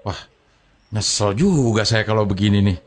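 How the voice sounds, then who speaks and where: An actor playing a brash, evasive labour agent in an educational drama, with an exclaiming, protesting manner. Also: male voice, on the low side, moderate pace, close to an everyday voice. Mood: alarmed, protesting. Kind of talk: scripted educational radio drama dialogue.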